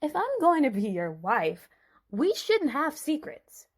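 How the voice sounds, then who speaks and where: A woman's voice: high-pitched, huge pitch swings, a little slow, voice pushed up, full character voice. A woman playing an anxious, manipulative young bride in a Bible story dramatization, pleading and coaxing dramatically.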